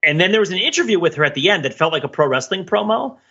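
Middle aged podcast dude telling stories, animated, bright kinda raspy voice, slightly muffled lo-fi audio. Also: male voice, high-pitched, very fast. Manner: animated storyteller, acts out imagined dialogue, laughs while talking. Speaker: podcast host. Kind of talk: casual conversational podcast chat.

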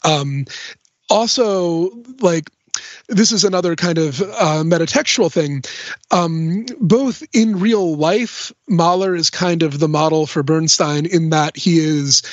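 Higher-voiced man explaining, slightly dull audio. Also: slow pace, dry recording. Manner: explanatory, discursive, often checks in with "right?". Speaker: podcast panelist. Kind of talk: casual analytical discussion.

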